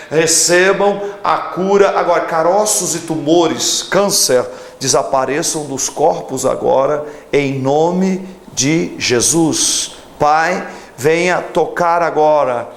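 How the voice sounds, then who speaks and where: A pastor in his forties preaching a televised sermon and leading a fervent prayer, stretching out emphatic phrases. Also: a little high. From Brazil. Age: 40s.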